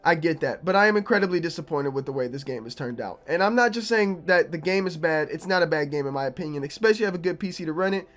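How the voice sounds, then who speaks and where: A young man, a gaming YouTuber, talking casually to his viewers with a slightly raspy, low-energy voice from being sick. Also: expressive, fast, hardly any pauses, fairly bright tone. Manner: conversational, repeats himself, low energy. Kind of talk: casual opinion vlog to camera.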